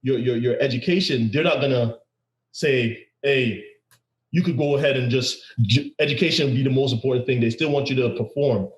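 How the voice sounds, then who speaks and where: A man's voice: mid-range voice, normal speed. A man hosting a podcast who tells stories energetically and often repeats words. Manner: energetic storytelling with laughs and repeats. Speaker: podcast host. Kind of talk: casual interview chat. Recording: roomy echo.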